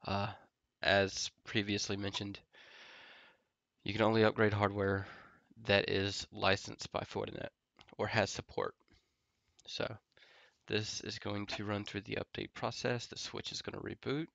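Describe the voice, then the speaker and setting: Congested, nasal man with a gravelly low voice. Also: unhurried, dry recording, highs cut off. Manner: relaxed, filler-heavy explaining while typing commands. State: congested from a head cold. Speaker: network engineer tutorial host. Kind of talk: casual screen-recorded tech tutorial. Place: home office.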